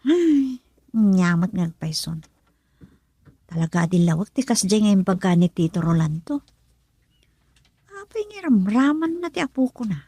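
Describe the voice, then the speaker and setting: A woman's voice: mid-range voice, sing-song, unhurried, pauses often, mellow, breathy edge, dry recording, exaggerated voice. A Filipino woman acting in a radio drama, playing an outspoken woman protective of her grandchild. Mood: brooding.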